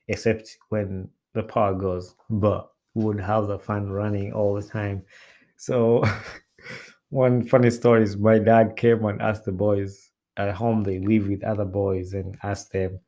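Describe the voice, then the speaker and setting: A man from Uganda, hosting a podcast in a relaxed, rambling way with many "uh" fillers. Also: lowish voice. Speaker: podcast host. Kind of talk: casual solo chat.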